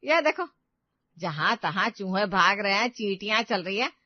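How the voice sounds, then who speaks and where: A woman's voice: mid-range voice, animated, normal speed, no echo, muffled recording, lightly acted. A woman voicing an older mother-in-law, an emphatic, nagging family matriarch in a moral story. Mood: scolding.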